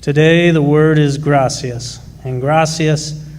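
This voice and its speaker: A man's voice: on the high side, steady tone, slow pace, darkish tone. A man acting a fair-minded, plain-spoken father, a miner, in a radio drama, speaking patiently and reassuringly. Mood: firm, reassuring.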